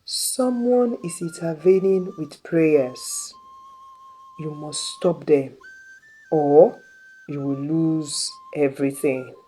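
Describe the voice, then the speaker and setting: A woman's voice: deep voice, slow, mellow, faint music behind. A woman voicing a stern male ritual priest in a folktale, emphatic and commanding. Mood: stern warning.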